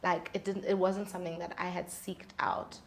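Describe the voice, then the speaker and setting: A woman's voice: on the low side, level tone, normal speed, slight background noise. A woman, speaking as a musician guest in candid, conversational interview storytelling. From South Africa.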